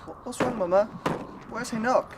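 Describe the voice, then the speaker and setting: A woman's voice: a little high, normal speed, close to an everyday voice. A woman playing a scared, confused small refugee boy in a radio drama, plaintive and questioning. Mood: bewildered. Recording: noisy ambience behind.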